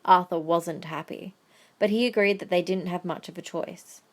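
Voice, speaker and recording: A woman's voice: on the low side, normal speed. A woman reading scripted third-person story narration as the narrator of an audio drama.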